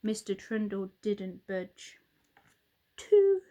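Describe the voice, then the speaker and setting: A woman narrating a children's storybook in a dramatic, expressive way. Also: medium pitch, a little slow. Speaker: storybook reader, teacher.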